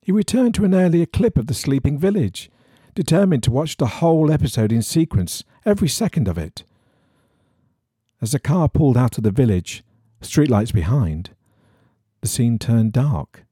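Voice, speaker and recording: A man reading an eerie horror story in a measured, suspenseful storytelling manner. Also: medium pitch, lively intonation, mellow, slightly rough, dry recording, studio quality. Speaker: story reader.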